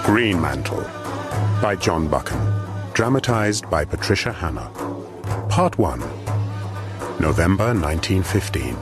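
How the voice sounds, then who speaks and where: A man reads a formal, measured announcement for a radio drama. His voice is very deep yet expressive, and music plays clearly behind it.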